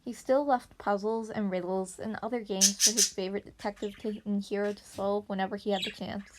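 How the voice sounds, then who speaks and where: A woman's voice: medium pitch, fairly even intonation, brisk. A woman reading fan fiction narration aloud as an amateur podfic reader. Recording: noisy amateur recording with birds chirping.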